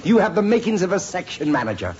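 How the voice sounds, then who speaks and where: A man's voice: sits high, sing-song, fast, a little mellow. A man playing a pompous, greedy department store floor manager who talks with plummy self-importance in a 1950s radio sitcom. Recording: muffled old radio recording, faint hiss.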